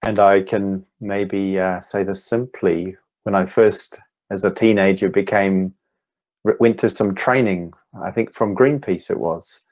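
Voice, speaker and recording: A man in his fifties with a New Zealand accent, giving an online talk as a meditation teacher, speaking slowly and deliberately with gentle pauses. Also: sits low, steady tone, lo-fi sound. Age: 50s. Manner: slow, deliberate, gently pausing. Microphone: video call.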